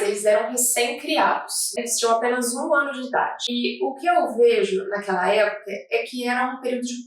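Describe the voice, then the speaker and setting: A woman federal prosecutor from Brazil, with a Rio de Janeiro accent, giving a measured, thoughtful oral history interview with occasional restarts. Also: high voice. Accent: Brazilian Portuguese, Rio de Janeiro. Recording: echoey room, mic at a distance.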